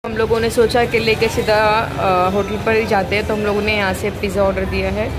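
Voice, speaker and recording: A woman narrating a casual travel vlog outdoors at night, chatty and full of excited exclamations. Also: medium pitch, fairly quick, over music. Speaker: vlogger. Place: outdoors at a crowded beach at night. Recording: crowd noise behind. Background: crowd chatter.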